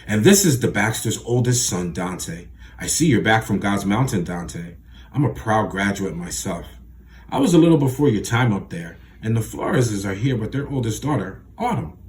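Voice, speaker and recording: An eager, slick-talking preacher who gushes and pushes ahead, as a male narrator plays him. His voice sits a little low. A faint hiss runs under it. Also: very animated, darkish tone. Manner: gushing, fast and pushy. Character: eager breathless middle-aged male preacher, slick talker.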